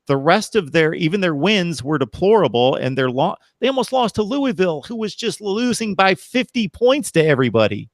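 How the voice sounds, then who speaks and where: Measured man analyzing football, dry sound. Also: high voice, big pitch swings, no room sound. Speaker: podcast host. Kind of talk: casual sports podcast chat.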